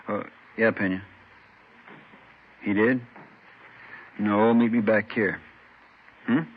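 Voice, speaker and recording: An actor playing a brisk, businesslike police detective with a casual, terse manner in a 1950s radio drama. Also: male voice, lowish voice, natural voice. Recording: muffled, faintly noisy old radio recording.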